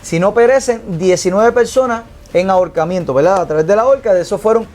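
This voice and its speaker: A man co-hosting a casual panel podcast, talking in an animated way with many fillers and restarts. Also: sits high, brisk. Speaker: podcast co-host.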